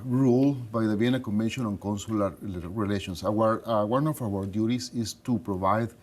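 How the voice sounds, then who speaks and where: Halting low-voiced man from Mexico. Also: a little mellow. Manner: halting, with many fillers and restarts. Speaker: studio guest, consular official. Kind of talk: interview answers.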